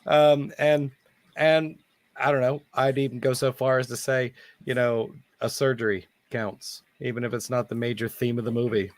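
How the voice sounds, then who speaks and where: A man hosting a live online chat, hoarse from losing his voice and talking in a rambling, halting way. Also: medium pitch, level tone, no room sound. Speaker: host. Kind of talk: casual online hangout chat. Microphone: computer mic on a live video call.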